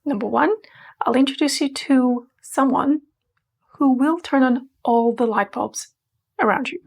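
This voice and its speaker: A woman's voice: on the high side, gravelly. A woman from Portugal, teaching a playful, emphatic scripted language lesson. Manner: playful, emphatic, slows to spell out words.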